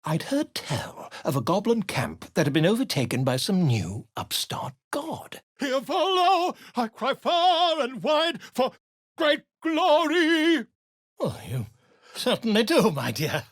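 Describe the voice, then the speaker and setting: An actor playing a pompous, self-important male bard and author in a fantasy video game, speaking in florid, grandiose phrases with a husky voice. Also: very high-pitched, very animated, takes it slowly, no room sound, studio quality, full character voice. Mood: chatty, self-assured, then grandly declaiming. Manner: florid, grandiose, wordy delivery. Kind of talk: theatrical fantasy game dialogue.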